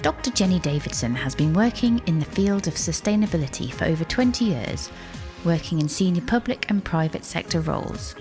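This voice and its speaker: A woman co-hosting a podcast in a studio, reading a guest introduction and chatting briskly. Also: fairly low pitch, animated, music in the background. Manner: brisk, reads the guest bio then chats. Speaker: podcast co-host.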